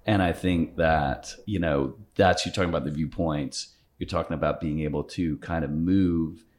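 A man with a very low, deep voice hosts a laid-back conversation. He rambles a little, circling his thoughts with easy filler before landing the point. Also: normal speed. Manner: relaxed, rambling, thinks aloud with "you know" and "it's like". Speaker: podcast host. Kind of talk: casual conversational interview.

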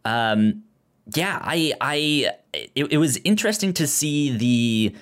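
Slow, halting man chatting about film. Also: on the high side, expressive, fairly bright tone, clear, resonant tone. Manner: halting, often repeats and stumbles over words. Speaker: podcast co-host. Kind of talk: casual film discussion.

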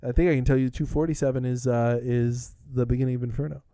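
A man in his thirties hosting a podcast, chatting casually in excited bursts with lots of restarts. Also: mid-range voice, quick pace, no room sound, studio quality. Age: older than co-host, roughly 30s. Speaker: podcast host. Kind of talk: casual chat.

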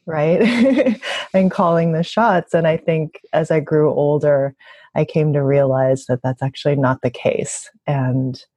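Low-voiced woman, mellow and slightly husky. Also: steady tone, unhurried, no room sound. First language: bilingual, also speaks Mandarin. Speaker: interviewee, labor organizer and activist. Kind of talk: reflective conversational interview answers.

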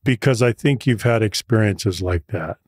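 A man hosting an interview, listening closely and asking gentle follow-up questions. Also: fairly low pitch, normal speed, breathy edge, dry recording, clean studio sound. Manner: attentive, affirming listener who asks gentle follow-up questions. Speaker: podcast host, interviewer. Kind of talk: conversational interview.

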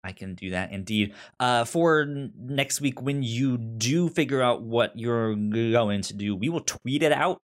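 A man co-hosting a podcast in casual chat, with a halting, informal delivery and a pronounced stutter that repeats sounds and syllables. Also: mid-range voice. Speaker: podcast co-host. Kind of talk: casual chat recommending and discussing TV shows.

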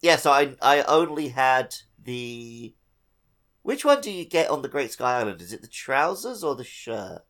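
A man's voice: medium pitch, animated, measured pace, fairly bright tone. A man co-hosting a podcast, chatting casually about a video game with wry, joking asides. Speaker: podcast co-host.

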